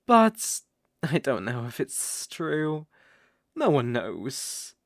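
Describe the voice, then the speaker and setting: A performer voicing a smooth-talking naga captor in an ASMR roleplay, doting and sweetly sinister, coaxing with drawn-out endearments. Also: mid-range voice, very animated, no room sound, clean studio sound, full character voice. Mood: hushed storytelling. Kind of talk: ASMR roleplay, slow intimate storytelling.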